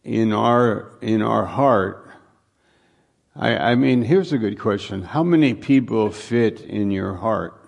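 Guy pastor chatting to his congregation, real slow and gravelly, kinda halting, repeats himself, throws out questions. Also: fairly low pitch. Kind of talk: informal sermon, welcoming guests to congregation. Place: live church service.

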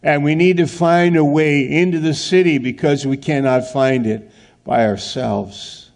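Slow, gravelly male preacher. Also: a little high, fairly even intonation, darkish tone. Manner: earnest, asks rhetorical questions, acts out scenes. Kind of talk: sermon.

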